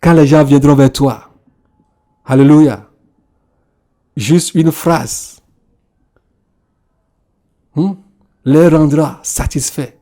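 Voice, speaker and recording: A man's voice: a little high, steady tone, drawn-out words, pauses often, dark tone. A man, repeating phrases and punctuating them with hallelujah. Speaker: preacher. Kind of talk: sermon.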